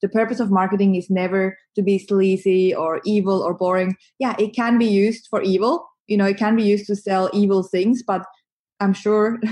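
Swiss-accented female guest, chatty and animated, mid pitch. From Switzerland. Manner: animated, chatty, laughs often. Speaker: podcast guest, marketing agency founder. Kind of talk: conversational interview.

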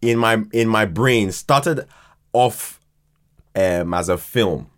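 A man chatting casually as a podcast guest, halting and often repeating words as he explains his ideas. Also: on the low side. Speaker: podcast guest, writer and creator of a fiction podcast. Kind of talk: casual interview chat.

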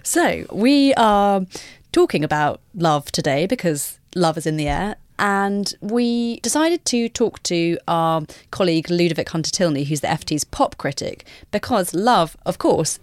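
A woman co-hosting a podcast, chatty and quick to laugh. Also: lowish voice, lively intonation, dry recording, clean studio sound. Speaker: podcast co-host. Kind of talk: podcast discussion. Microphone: studio mic.

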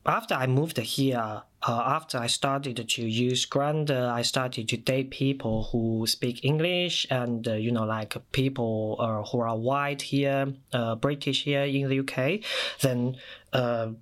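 A man in his thirties from China, Mandarin native, with a Chinese accent, telling personal stories as a podcast guest with many pauses and fillers. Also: mid-range voice, steady tone, a bit bright. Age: 30s. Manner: thoughtful, many "uh" fillers and restarts. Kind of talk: personal storytelling.

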